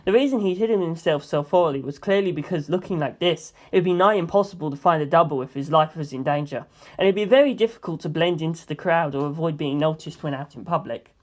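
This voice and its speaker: A woman reading a first-person novel aloud as an amateur audiobook narrator, with expressive delivery. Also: low voice, fast.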